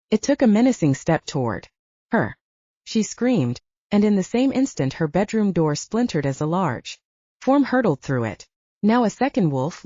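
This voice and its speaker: A woman's voice: on the low side, mellow, no echo. A woman reading a novel aloud as scripted audiobook narration, in a slightly dull recording.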